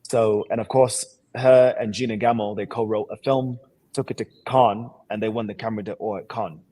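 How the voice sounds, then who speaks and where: This man has a low voice with a raspy edge and speaks in a put-on British accent. He talks like an actor in an interview selling himself, with confident, emphatic, boastful phrasing and plenty of slang. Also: steady tone, normal speed, dark tone, dry recording. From born in Sierra Leone, grew up in Seattle. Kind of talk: animated self-promotional interview talk.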